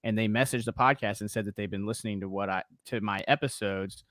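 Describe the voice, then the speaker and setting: A man's voice: lowish voice, quick pace, fairly bright tone, no room sound, clean studio sound. An American man hosting a casual podcast interview, giving advice in a conversational way. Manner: advice-giving, conversational, many "you know"s. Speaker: podcast host.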